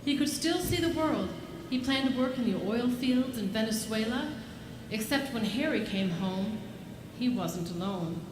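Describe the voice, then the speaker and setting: A woman's voice: on the high side, animated, measured pace, background noise. A woman playing a patient storytelling narrator in a live radio play. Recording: roomy live stage. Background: music.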